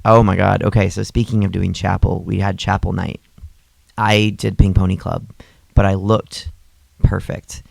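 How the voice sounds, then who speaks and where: A man's voice: low-pitched, little pitch movement, normal speed, creaky, darkish tone, dry recording. A young adult man co-hosting a podcast, a comedian and drag performer, chatting casually with many fillers and restarts. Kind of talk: casual chat.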